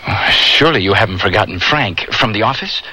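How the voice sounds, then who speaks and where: An actor playing a worried, insistent husband in a radio drama, urgent and pleading yet tender. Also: male voice, fairly low pitch, expressive, brisk, sharp tone, husky, heavily acted. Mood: coaxing, insistent. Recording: slightly noisy old radio recording.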